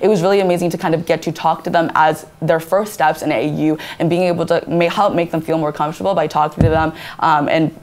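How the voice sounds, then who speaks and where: A woman's voice: deep voice, steady tone, quick pace, few pauses, a little mellow. A young woman whose first language is Chinese, answering earnestly as a candidate in a student government debate. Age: young adult. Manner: earnest, many restarts and "I think".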